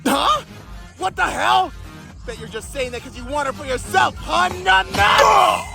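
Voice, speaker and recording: Man acting young male anime punk, cocky, crude, slangy, gravelly edge, wildly swinging pitch, music behind. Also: animated, measured pace, heavily acted. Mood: outraged. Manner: loud, cocky, slangy delivery. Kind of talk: anime fan dub acting.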